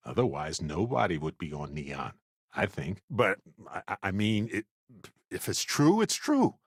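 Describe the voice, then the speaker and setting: Video game companion: adventurous, wry spacefaring man, very lively delivery, dry close studio sound. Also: low voice, measured pace, breathy edge, no room sound, heavily acted. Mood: earnest, reflective. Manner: wry, playful, expressive.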